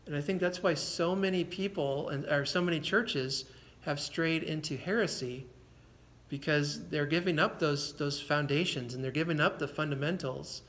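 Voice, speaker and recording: Pastor, male, earnest conversational sermon delivery, voice a touch high. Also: moderate pace, slight background noise. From United States. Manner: earnest, conversational preaching with repeated words and soft laughs.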